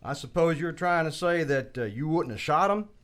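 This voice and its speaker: A man's voice: fairly high pitch, animated, normal speed, a bit bright. A man with an American accent playing a talkative, concerned frontier town doctor in a western radio drama, folksy and full of questions. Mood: inquisitive. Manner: folksy, exclaiming, prodding with questions.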